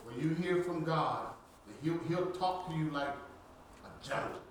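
A man's voice: fairly high pitch, measured pace. A man chiming in with short, plainspoken affirmations and comments during a church teaching talk. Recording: roomy echo, faint background noise.

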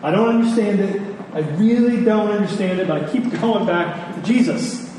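Male preacher, earnest sermon delivery with repeated words for emphasis; very echoey room, clear background noise. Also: high-pitched, moderate pace, mellow. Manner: earnest, emphatic with repetition for stress. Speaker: pastor preaching to his congregation. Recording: echoey hall, distant mic, noisy background.

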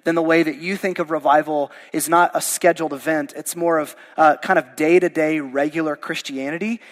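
A man's voice: fairly high pitch, in a large hall. A male pastor, losing his voice, preaching a verse-by-verse sermon in a conversational, informal way. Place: church sanctuary.

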